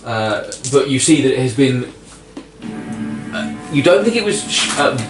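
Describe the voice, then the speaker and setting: A man narrating a tabletop game as game master in a casual, halting way, searching for his words. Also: mid-range voice, very animated. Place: indoor room, group around a game table. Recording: roomy, distant mic with music behind.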